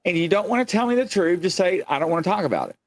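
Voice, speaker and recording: A man's voice: high-pitched, sing-song, racing, crowd noise. A man in his late forties hosting a casual show, telling rambling, folksy stories emphatically and acting out quoted conversations. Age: late 40s. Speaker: show host. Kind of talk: casual chat with anecdotes and acted-out quotes.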